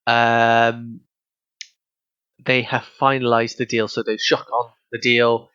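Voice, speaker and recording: A man's voice: mid-range voice, completely flat delivery, slow, sharp tone, clear, resonant tone, no room sound, lo-fi sound. A young man co-hosting a podcast, hesitant and giggly as he explains things. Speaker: podcast co-host. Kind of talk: casual chat.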